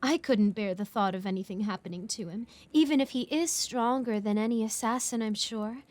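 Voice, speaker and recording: A woman playing a flattering, sly noblewoman in a dramatic audio role play, effusive and affectedly polite. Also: a little high, fairly quick, lightly acted. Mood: gushing, flattering.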